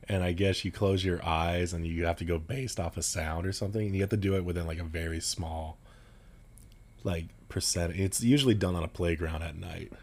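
A young man co-hosting a podcast, talking loudly and rambling with frequent laughter. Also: deep voice, steady tone, brisk. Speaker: podcast co-host. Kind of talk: casual podcast chat.

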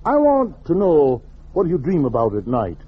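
A man's voice: fairly high pitch, wildly swooping pitch, moderate pace, husky, heavily acted. A man playing a pompous, formal psychiatrist in a 1940s radio comedy, fond of long words and easily exasperated. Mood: probing, formal. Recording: muffled vintage radio recording, noisy audience.

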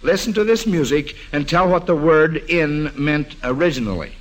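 Higher-voiced American radio quiz show host, a man. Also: animated, moderate pace. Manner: avuncular, addressing child contestants. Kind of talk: live 1940s radio quiz hosting. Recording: old 1940s radio broadcast.

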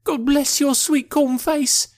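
Man doing a high, fretful old housekeeper woman. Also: brisk. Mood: tearful, grateful. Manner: effusive, tremulous and deferential. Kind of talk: acted audiobook character dialogue.

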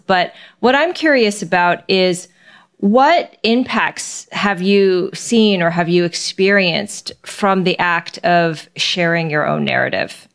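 A woman hosts an interview and asks her questions in a thoughtful, measured way. Her voice is smooth and clear, sitting a little low for a woman. She pauses now and then with soft fillers.

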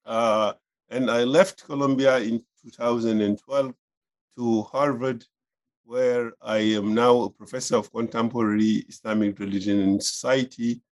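A man in his fifties, a professor being interviewed, answering thoughtfully with many pauses and uhs. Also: mid-range voice, fairly even intonation. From Senegal. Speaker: professor, guest.

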